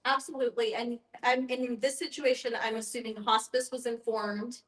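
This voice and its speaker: Female educator leading a discussion, slightly high pitch, encouraging advocate, roomy reverberant sound. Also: level tone, moderate pace, a bit bright. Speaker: guest facilitator and end-of-life educator. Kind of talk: guiding a group discussion, explanatory. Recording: echoey room sound.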